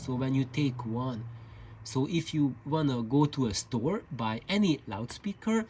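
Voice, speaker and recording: Guy doing an informal explainer, talks slow n hesitant, repeats himself, bit of noise in the background. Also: medium pitch, darkish tone. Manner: hesitant, meandering, repeats words. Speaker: video presenter on loudspeaker building. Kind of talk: informal explainer talk over slides.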